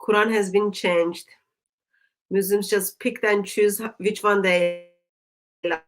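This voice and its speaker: A woman with a Turkish accent, a native Turkish speaker, hosting a livestream discussion and talking haltingly with many ums. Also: medium pitch, steady tone. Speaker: stream host.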